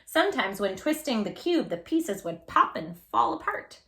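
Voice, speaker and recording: A woman hosting a children's read-aloud, expressive and encouraging, emphasising words and chatting directly to young listeners. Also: a little high, normal speed. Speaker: storybook reader, channel host.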